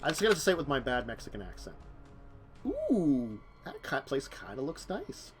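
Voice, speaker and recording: A man's voice: medium pitch, wildly swooping pitch, moderate pace, soft background music. A man running a tabletop vampire game as game master, talking casually with many fillers.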